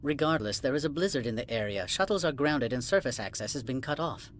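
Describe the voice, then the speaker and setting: A male actor plays a haughty corporate administrator from an alien world in a video game. His mid-pitched voice is formal, clipped and condescending, as if every visitor wastes his time. A light layer of noise sits behind him. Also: expressive, fairly quick, fairly bright tone, close to an everyday voice. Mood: curt, irritated. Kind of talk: video game cutscene acting.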